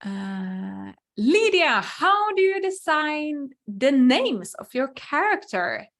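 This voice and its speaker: A young woman, speaking English as an at a slow, easy pace. She answers viewer questions through a computer mic, pausing to think aloud with frequent ums and small restarts. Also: high-pitched, very animated, a bit bright. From Finland. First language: Swedish. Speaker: webinar host, crochet designer. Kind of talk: live webinar Q&A.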